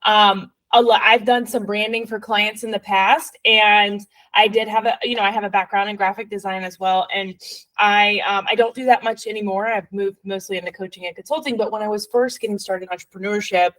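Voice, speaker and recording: A woman's voice: mid-range voice, fairly even intonation, a little fast, a bit bright, rough recording. A woman with an English accent hosting a podcast, upbeat and chatty as she introduces and questions her guest. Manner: upbeat, chatty, with many fillers and restarts. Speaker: podcast host. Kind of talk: podcast interview.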